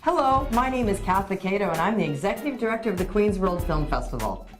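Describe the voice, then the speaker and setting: A woman who directs a festival, making a brisk, scripted announcement. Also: medium pitch, sing-song, over music. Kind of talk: promotional announcement.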